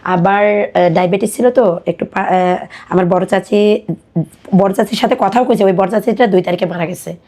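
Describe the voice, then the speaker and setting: A woman with a Bangladeshi accent telling her personal life story as a podcast guest, in colloquial, halting speech. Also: mid-range voice, warm tone.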